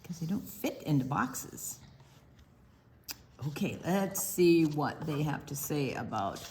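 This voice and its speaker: A woman's voice: deep voice, lively intonation, slow pace, warm tone, a little hiss or hum. An older woman reading a picture book aloud to young children, drawing out words expressively and adding explanatory asides. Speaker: storytime reader.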